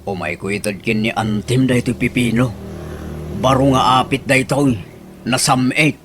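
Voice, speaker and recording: Male actor as humble, easygoing older villager, mid-pitched, Ilocano accent, radio comedy, light noise behind. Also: fairly even intonation, normal speed, close to an everyday voice. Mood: jovial.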